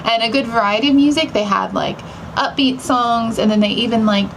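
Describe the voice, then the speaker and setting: Lady chatting on a vlog, upbeat, lots of vocal fry, noisy background. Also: fairly high pitch, fairly quick. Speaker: travel vlog co-host. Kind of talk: casual chat recapping the day. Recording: clearly noisy background ambience.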